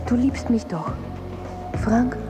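Casting: seductive, clinging temptress for radio drama, reproachful, lightly high, over music. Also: female voice, animated, slow pace. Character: possessive, seductive female temptress, intimately reproachful. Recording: music behind the voice.

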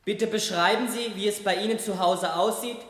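A man's voice: high-pitched, moderate pace, fairly bright tone. An actor in a seventies radio play, playing a detached, questioning teenage boy who has lost his memory. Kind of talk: 1970s German radio play acting. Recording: echoey hall with long reverb.

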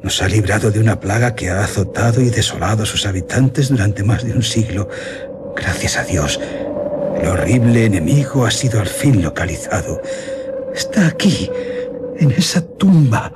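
An actor playing a haunted, vengeful general in a gothic radio drama, formal, declamatory and full of emotion. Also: male voice, lowish voice, animated, moderate pace, noisy background, close to an everyday voice. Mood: emotional gratitude.

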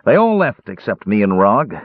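A man acts out a crusty old dwarf from a fantasy storybook. The voice is rough and husky, snappish and full of scorn, with folksy grammar and swooping emphasis. It comes through a narrow, phone-like band. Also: fairly low pitch, big pitch swings, normal speed, no echo. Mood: wistful grumbling. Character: gruff, cantankerous old male dwarf arena keeper.

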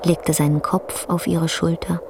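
A woman playing the storyteller of a somber tale, narrating a radio drama in a measured, grave, literary way. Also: fairly low pitch, flat delivery, noisy background.

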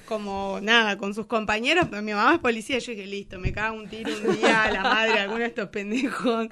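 A woman with an Argentine accent, a guest telling animated anecdotes in slang during a casual radio interview. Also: medium pitch, fast. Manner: animated storytelling, quoting dialogue in slang. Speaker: guest, singer and teacher.

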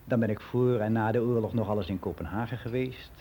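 Male actor as older man giving frank, matter-of-fact radio play monologue; soft dark tone, slightly noisy recording. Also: lowish voice, quick pace, close to an everyday voice. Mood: matter-of-fact, reminiscing. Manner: matter-of-fact, rambling, candid.

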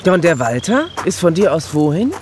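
A direct, probing woman in a radio drama, playing the loyal friend. Her speech is conversational, set amid clearly noisy rural ambience. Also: lowish voice, sing-song, a little fast, darkish tone. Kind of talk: naturalistic radio drama acting.

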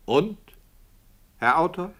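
Excitable, swooping radio-play director. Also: male voice, on the high side, slow. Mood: eager, probing. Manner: questioning, incredulous, excitable. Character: agitated, baffled male radio-play director questioning author. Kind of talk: radio drama acting.

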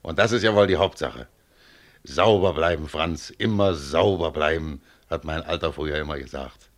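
Very deep, unhurried contented tram driver. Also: male voice. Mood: modest, proud. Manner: plain-spoken, unhurried, chatty. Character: contented tram driver near forty, modest, proud family man. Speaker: actor in a radio play. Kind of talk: German radio play acting, conversational monologue.

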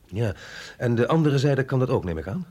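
A man's voice: medium pitch, sing-song, a little fast, a little mellow, slightly rough. An actor playing a reluctant, doubting male agent in a radio drama, hesitant and questioning. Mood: puzzled, questioning. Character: reluctant, doubting male agent, younger than his superior.

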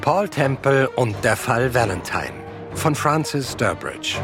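A man's voice: medium pitch, sing-song, slow. A man announcing a radio play in scripted narration. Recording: loud music under the voice. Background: loud music.

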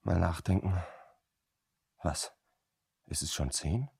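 An actor playing a harried, brooding businessman in a radio drama, gravelly and husky, talking in a rambling, self-questioning way. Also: low-pitched, animated, takes it slowly, a little mellow, exaggerated voice. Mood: tired, distracted. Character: harried male businessman, brooding, longing for time to think. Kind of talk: German radio drama acting.

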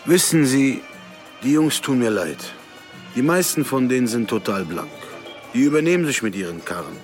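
A man acting as a smooth, self-righteous moneylender in a radio play. His mid-pitched voice swings widely as he preaches like a family patriarch, unctuous and emphatic. Music sits underneath. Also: animated, moderate pace, close to an everyday voice. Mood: smoothly righteous. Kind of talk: naturalistic radio drama acting.

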